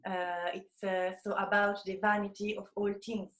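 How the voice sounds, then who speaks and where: A woman from Italy with an Italian accent, a photographer talking in an interview and pausing often to find her words. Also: medium pitch, little pitch movement, fairly bright tone, echoey room. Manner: hesitant, searching for words with many fillers. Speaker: photographer, guest.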